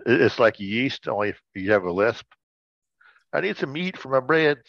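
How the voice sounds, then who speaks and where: A man's voice: mid-range voice, lively intonation, normal speed, gravelly, no echo. A man co-hosting in casual, joking chat, on a dull, slightly lo-fi recording. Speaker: co-host.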